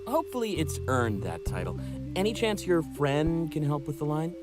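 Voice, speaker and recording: A man's voice: fairly high pitch, big pitch swings, moderate pace. A man voicing a frank, cautious but sociable male furry friend in a visual novel. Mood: casual. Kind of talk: visual novel character dialogue. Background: game music.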